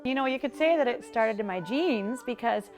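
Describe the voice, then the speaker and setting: A woman's voice: a little high, animated, moderate pace, a little mellow, soft background music. A woman from Argentina, a singer and mother, speaking in an interview in an earnest, reflective way with some restarts.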